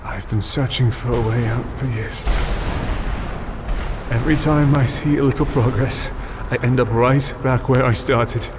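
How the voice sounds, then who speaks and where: A man playing a weary, resigned dream captive in a fantasy game, speaking in a gloomy, measured way. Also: mid-range voice, fairly even intonation, lightly acted. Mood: weary, wry. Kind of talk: scripted fantasy game acting. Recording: clear battle noise behind.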